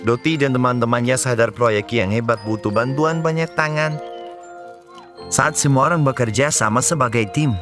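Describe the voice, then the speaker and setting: A man's voice: mid-range voice, moderate pace, music in the background. A man narrating a children's cartoon in a scripted storytelling style.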